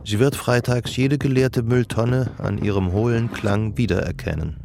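A man acting as the measured, reflective narrator of a literary radio drama about love. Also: lowish voice, creaky, noisy background. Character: omniscient male narrator of a literary love story, observant. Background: music.

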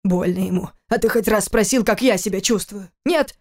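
A man's voice: high voice, normal speed. A woman voicing a stern, angry older father who scolds his grown son reproachfully in an audiobook. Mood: angry, insistent. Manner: forceful, reproachful, rhetorical questions.

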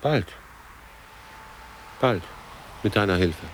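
Low, slow, weary old man. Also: expressive, full character voice, rough recording. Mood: resigned. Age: older. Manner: laconic, clipped fragments. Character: weary, resigned lonely old man, gentle and forlorn. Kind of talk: radio drama dialogue.

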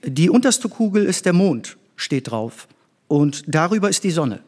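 Curious schoolboy, higher male pitch, inquisitive, plain-spoken, theatrical drama. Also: sing-song, unhurried, darkish tone. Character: Andrea, curious young boy, housekeeper's son and pupil. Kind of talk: theatrical scripted performance.

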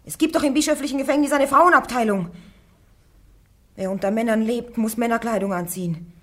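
A woman's voice: on the high side, a little fast, natural voice. A woman playing a young accused heretic, pleading. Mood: insistent. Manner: pleading, earnest. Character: young peasant woman on trial for heresy. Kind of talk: radio play acting.

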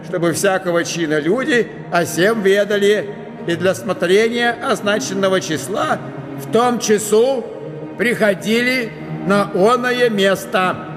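An actor in a radio play voicing an eighteenth-century official herald, formally and ceremoniously proclaiming a decree. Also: male voice, high-pitched. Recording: long hall reverb, music underneath.

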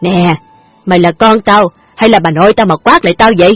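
A woman's voice: mid-range voice, moderate pace, breathy edge, no echo, soft background music, lightly acted. Woman voicing a sheepish old gambler dad. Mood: pleading. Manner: wheedling, defensive.

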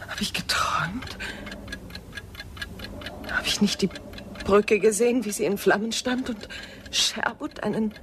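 A woman playing an anxious, sleepless, haunted character alone at night, speaking in a hushed, halting way in a German radio drama. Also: medium pitch, background noise, natural voice.

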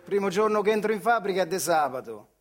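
A man's voice: sits high, animated, fast, noisy background. A male actor with a Marche accent from central Italy playing Adriano, an earthy, wry factory worker who tells his memories in a colloquial theatrical monologue. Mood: wry narrating. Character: Adriano, working-class old factory man telling his childhood memories. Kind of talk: theatrical monologue storytelling in dialect-tinged speech.

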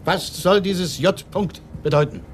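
An actor playing a genial, slightly fussy senior police chief in a German radio crime drama. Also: male voice, high voice, measured pace, slight background noise. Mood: puzzled, fussy.

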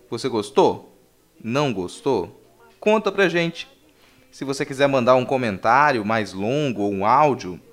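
A man's voice: mid-range voice, a bit bright. A man with a Brazilian accent hosting a podcast, talking in a casual, conversational style. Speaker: podcast host.

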